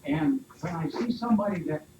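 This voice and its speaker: A man's voice: a little high, expressive, fairly quick. A man in a study group thoughtfully sharing his view in long, rambling sentences. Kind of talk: casual discussion. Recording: echoey room, distant mic, loud hiss.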